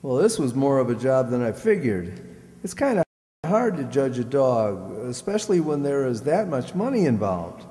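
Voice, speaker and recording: Man acting as earnest, mellow radio show guest. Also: medium pitch, big pitch swings, moderate pace, natural voice. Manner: earnest, listing points methodically. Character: earnest single male reserve officer reporting in by telephone. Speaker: telephone guest. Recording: echoey hall.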